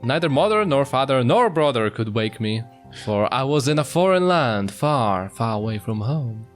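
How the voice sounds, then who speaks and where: A man's voice: mid-range voice, big pitch swings, moderate pace. A man reading visual novel text aloud in a casual let's-play style, breaking off for jokey, mock-apologetic asides. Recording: music behind the voice. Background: music.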